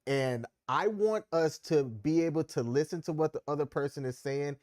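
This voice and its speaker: A man's voice: a little high, normal speed, darkish tone, no room sound, clean studio sound. A man co-hosting a casual live stream chat, easygoing and steering the talk. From Maryland, USA. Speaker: co-host.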